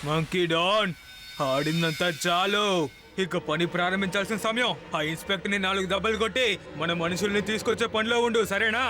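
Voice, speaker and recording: Man as a high-voiced scheming cartoon crime boss. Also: a little fast, music in the background, natural voice. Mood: commanding. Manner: commanding, theatrical. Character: scheming, scolding criminal boss of a monkey. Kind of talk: children's cartoon villain acting.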